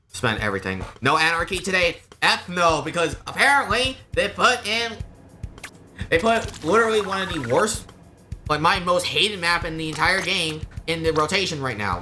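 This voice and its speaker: A man's voice: a little high, pushed and bright. A man live streaming a game, chatting casually with viewers in an informal, rambling, exclamatory way.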